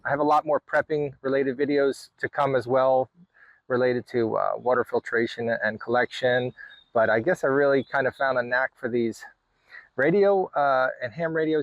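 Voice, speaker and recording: A man hosting a casual hobby walkthrough, explaining things in a halting way with pauses mid-sentence. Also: medium pitch, dry recording, lo-fi sound. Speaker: hobbyist video host. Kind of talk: casual hands-on walkthrough to camera. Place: in and around a parked vehicle.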